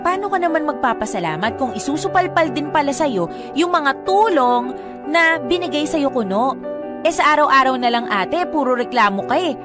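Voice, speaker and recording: A proud, jobless younger sister with a Filipino accent in a radio drama. Her voice sits fairly high, combative and quick to answer back with sarcasm. Music runs clearly behind her. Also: animated. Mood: defensive, combative. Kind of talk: Tagalog radio drama acting.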